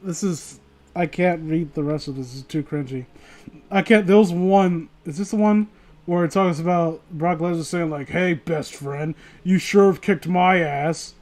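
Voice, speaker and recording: Guy with a pretty high voice streaming, reads stuff out loud n rambles, swears, keeps breaking off. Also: normal speed. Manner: sweary, informal, rambling, often breaking off mid-sentence. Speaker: streamer reading stories aloud to viewers. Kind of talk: casual livestream reading with mocking commentary.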